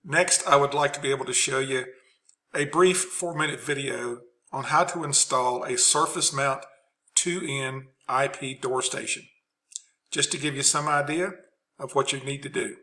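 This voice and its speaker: A man's voice: mid-range voice, fairly even intonation, moderate pace, sharp tone. A man giving an unscripted instructional presentation as a trainer, explaining things plainly.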